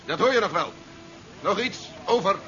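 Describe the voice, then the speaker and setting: Authoritative general, high voice, curt orders, sci-fi radio drama, dull recording, engine noise. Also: male voice, expressive, moderate pace, over music. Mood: businesslike. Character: commanding, cautious army general running a space capsule recovery. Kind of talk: 1970s science-fiction radio play acting.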